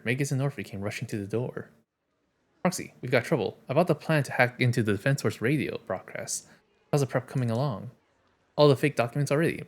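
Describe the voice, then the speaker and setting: A man who streams games, reading story narration and dialogue aloud on a livestream. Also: mid-range voice.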